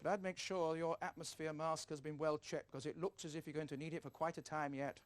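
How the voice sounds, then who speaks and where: A man's voice: fairly high pitch, little pitch movement, brisk, lightly acted. A man acting an outspoken, indignant TV weatherman in a screen drama, emphatic and sardonic.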